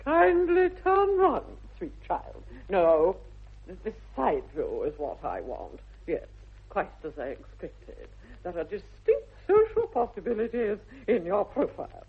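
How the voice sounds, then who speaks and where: An imperious older English aristocrat in a vintage radio comedy. Her upper-class delivery is haughty and emphatic, swooping widely with a husky edge. The recording is muffled and tinny. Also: mid-range voice, huge pitch swings, unhurried, heavily acted. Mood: commanding. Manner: grand, haughty, emphatic drawl. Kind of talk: 1960s radio comedy acting.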